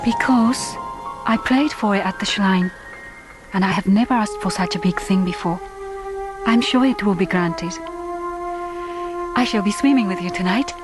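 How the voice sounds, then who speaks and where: A woman playing a gentle, devoted young Japanese islander in a radio drama, earnest and formal in her phrasing. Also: mid-range voice, normal speed, pauses often, natural voice. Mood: tender, determined. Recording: music bed under voice. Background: orchestral film score.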